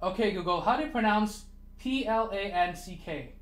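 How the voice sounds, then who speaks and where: A man's voice: high voice, normal speed. A man whose first language is not English, chatting casually on a livestream, ranting animatedly and swearing freely.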